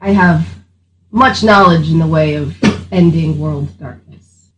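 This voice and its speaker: A woman's voice: sits low, unhurried, echoey room, lo-fi sound. A woman improvising as a player in a tabletop game, chatting in and out of her role.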